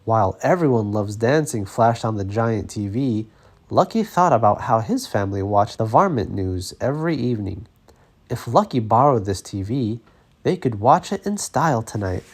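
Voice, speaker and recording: A man narrating a children's story as an expressive read-aloud. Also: fairly low pitch, moderate pace, slightly rough.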